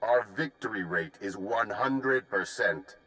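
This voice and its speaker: A man's voice: on the low side, animated, slow, bright, forceful tone, exaggerated voice, low-quality recording. A man voicing an arrogant, menacing mercenary commander in a video game, with a taunting, threatening manner. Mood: smug menace. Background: game music.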